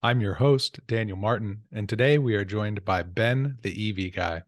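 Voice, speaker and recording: A man's voice: lowish voice, normal speed, no echo. Upbeat male podcast host, dry sound. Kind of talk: podcast interview hosting.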